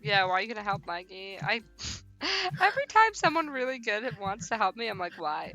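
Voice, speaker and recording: A woman's voice: medium pitch, big pitch swings, moderate pace, a crowd in the background. A playful, giggly woman streaming a game, chatting casually and reading text aloud. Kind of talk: casual live let's-play chat and read-aloud.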